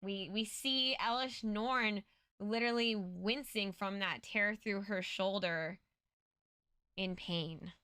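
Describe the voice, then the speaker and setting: A woman's voice: medium pitch, slow pace, pushed and bright, clean tone, no echo, clean studio sound. A woman co-hosting a recap show, giving casual commentary in an earnest, explanatory way. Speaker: co-host of a story recap show.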